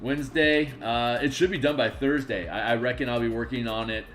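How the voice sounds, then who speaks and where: A raspy, tired man chatting casually on a live stream while making game art, rambling and muttering to himself as he works. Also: medium pitch, fairly even intonation, brisk, a bit bright, faint music behind. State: tired, just woke up.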